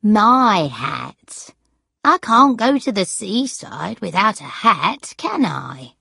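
An elderly, childlike grandmother played by a woman narrator in a storybook, muddled and suspicious yet stubborn. Her voice is husky and slightly creaky, wandering from question to question. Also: very animated, slow, bright, forceful tone, no room sound, clean studio sound, heavily acted. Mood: stubborn, guarded. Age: older. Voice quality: strange high singing voice when breaking into song.

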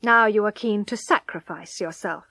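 A woman reading the lines of a cold, distant young husband in a firm, measured way. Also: mid-range voice, pushed and bright, no echo. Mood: bitter.

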